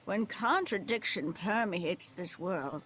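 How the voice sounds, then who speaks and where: Game village elder, old, slow and portentous, swinging pitch, ambient noise. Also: female voice, lively intonation, fairly bright tone. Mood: ominous. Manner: slow, portentous, easily indignant. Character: ominous, prickly village elder preaching doom. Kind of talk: video game voice acting.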